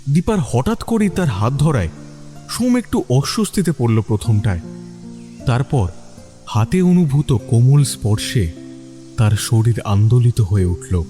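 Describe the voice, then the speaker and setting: A man's voice: mid-range voice, sing-song, a little slow, warm tone, soft background music. A man reading a scripted dramatic story as its narrator.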